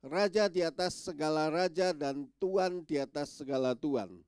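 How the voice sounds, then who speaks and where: A man's voice: fairly high pitch, unhurried, a little mellow, smooth, no echo. A male pastor preaching to his congregation, his voice stuffy and nasal from a cold, with many small filler yeses between phrases. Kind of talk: sermon. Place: church.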